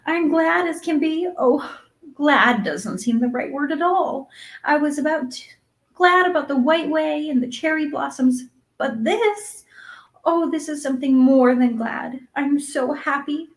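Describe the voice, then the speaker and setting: An earnest, dreamy orphan girl from a storybook, played by a woman. Her voice is high, and she speaks in breathless, emphatic gushes, imploring and excitable. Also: normal speed, natural voice. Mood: tearful joy. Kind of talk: acted audiobook dialogue.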